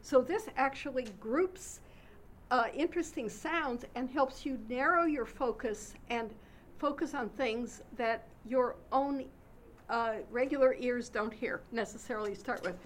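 A woman giving an academic conference presentation on her research in a hesitant way, with pauses between phrases. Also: high voice, slight background noise.

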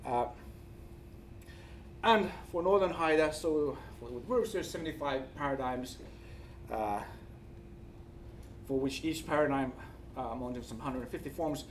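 A man with a Finnish accent gives an academic presentation, speaking haltingly and searching for words. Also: medium pitch. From Finland. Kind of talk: conference presentation with slides. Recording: distant mic, faint background noise.

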